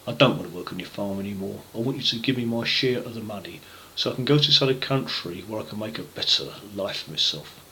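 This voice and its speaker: A man's voice: fairly low pitch, quick pace, sharp tone, a little hiss or hum, rough recording. A man voicing a rebellious, later humbled younger son in a told parable, earnest and plain-spoken. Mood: restless, insistent.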